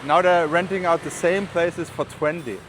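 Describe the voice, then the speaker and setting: A man's voice: fairly high pitch, steady tone, moderate pace. A man from Germany with a German accent, chatting casually and rambling with informal asides in a walking vlog. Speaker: vlogger. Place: outdoors on city streets while walking. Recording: outdoor street noise, passing traffic. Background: urban street ambience, vehicles.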